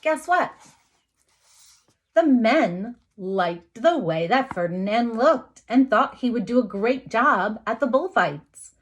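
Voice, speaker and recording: A woman teacher reading a picture book aloud to young children, expressively, with dramatic emphasis, pauses and asides to her listeners. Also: fairly high pitch, expressive.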